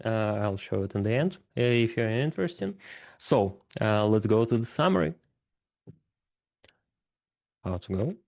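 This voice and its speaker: A man's voice: lowish voice, expressive, normal speed, gravelly, a little mellow. A man giving a technical conference talk, thinking aloud and explaining ideas step by step. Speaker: conference speaker. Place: event stage.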